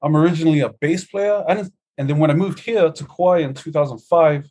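A man's voice: fairly high pitch, brisk, mellow. A middle-aged man, a musician guest telling his story in a laid-back, easygoing way over a video call. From New Zealand. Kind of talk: casual interview chat.

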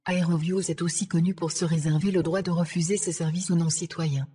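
A synthetic female voice of an AI assistant, giving formal, measured, deadpan scripted information. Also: on the low side, breathy edge. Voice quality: synthetic text-to-speech voice. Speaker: AI ship assistant.

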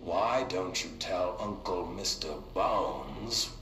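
A man's voice: low-pitched, slow pace. A man playing an avuncular puppet-show host uncle, explaining things to a child in a patronizing singsong. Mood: patronizing cheer. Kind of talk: children's educational TV show acting. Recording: echoey room with faint noise.

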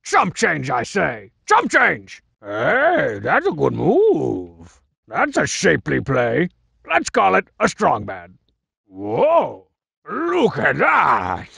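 An actor playing a cocky, scheming cartoon masked wrestler in a video game, boastful and mocking, with a gravelly, raspy voice. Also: male voice, a little high, wildly swooping pitch, slow pace, bright, forceful tone, no echo, studio quality, heavily acted. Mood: excited. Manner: boastful, mocking, swaggering wisecracks. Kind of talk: video game character barks.

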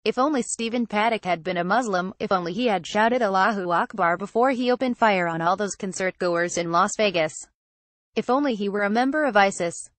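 A woman reading an opinion column aloud in an even, steady voice, with firm rhetorical pauses. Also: mid-range voice, dry recording.

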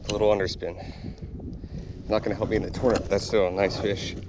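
A man's voice: fairly low pitch, level tone, fast, background noise. A man giving casual, laid-back vlog commentary as he fishes, recorded on an action camera outdoors in a kayak. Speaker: fishing vlogger. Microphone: body-worn action camera.

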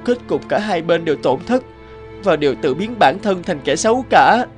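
A man voicing a small, meek young male crow in an animated fable, speaking in a pleading, humble way. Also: on the high side, normal speed, soft background music, close to an everyday voice. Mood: remorseful.

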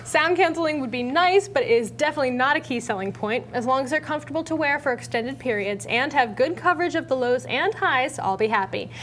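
A woman's voice: high voice, animated, quick pace, hardly any pauses, fairly bright tone. A young American woman co-hosting a tech show in a studio, talking in an informal, conversational way. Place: TV-style studio at a desk. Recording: faint background noise.

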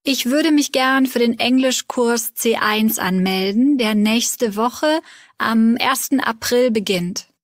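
A woman calling to register for a course, spelling her name slowly and carefully in a scripted learner dialogue. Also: fairly high pitch, dry recording, studio quality. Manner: slow, careful spelling and numbers.

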